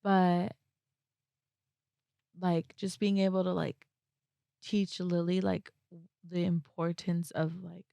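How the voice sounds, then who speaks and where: A young woman talking reflectively and casually as a podcast guest, halting often and peppering her speech with "like". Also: lowish voice, level tone, gravelly, warm tone, no room sound. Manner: halting, frequent "like" as verbal filler.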